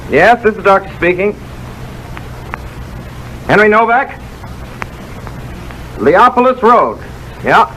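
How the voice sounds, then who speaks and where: An actor playing an earnest, self-doubting country doctor in a 1930s radio drama. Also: male voice, sits high, bright, forceful tone, a little hiss or hum, exaggerated voice, low-quality recording. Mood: brisk, alert. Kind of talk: 1930s radio drama acting and first-person narration. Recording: old 1930s radio recording with music.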